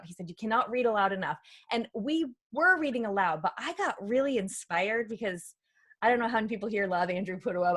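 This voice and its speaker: A middle-aged woman, telling personal stories as an interview guest in an animated, informal way. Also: mid-range voice. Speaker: interview guest, author and podcaster. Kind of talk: casual conversational storytelling.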